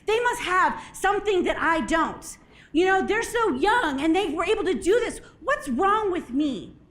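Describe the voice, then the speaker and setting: A woman speaking on a conference stage, giving an animated, jokey motivational talk with a slightly hoarse voice and frequent questions to the audience. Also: extremely high pitch, measured pace. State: a bit hoarse, clears throat.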